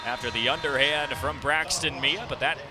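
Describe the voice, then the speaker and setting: A man's voice: on the high side, normal speed, over music. A young man calling live basketball play-by-play for radio, describing the action vividly as his voice jumps with the plays. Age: young adult. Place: courtside broadcast in a basketball arena. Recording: loud arena noise, bouncing ball behind. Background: basketball game ambience, ball bounces.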